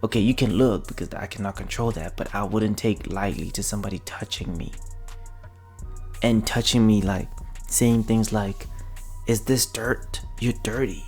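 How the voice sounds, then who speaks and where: Male reaction vlogger, low and gravelly, chatty and slangy, soft music bed. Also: normal speed. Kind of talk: casual reaction commentary.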